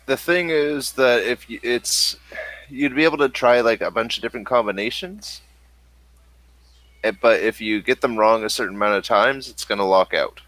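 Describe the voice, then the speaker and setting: A man running a tabletop role-playing game as game master, explaining the rules with hesitant pauses and fillers. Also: medium pitch, fairly bright tone, dry recording.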